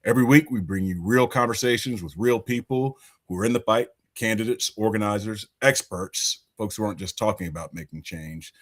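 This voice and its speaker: A man from Alabama hosts a podcast in a deep, low voice. He speaks emphatically in a loose, conversational way and sometimes restarts his sentences. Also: brisk. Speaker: podcast host. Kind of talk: podcast hosting and interviewing.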